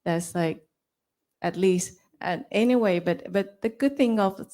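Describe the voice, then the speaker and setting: A woman's voice: medium pitch, a little slow, warm tone. A woman in her forties with a Thai accent, talking casually to an audience in simple, loose English. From Thailand. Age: 40s. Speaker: speaker on a panel.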